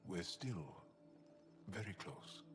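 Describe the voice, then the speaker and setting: A guarded but earnest alien warrior from a video game. His voice is low and swings expressively as he searches for words, set in a long reverb. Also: animated, music in the background. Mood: reserved, wry. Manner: halting, deliberate, searching for words. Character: guarded, earnest male alien freedom fighter and tinkerer.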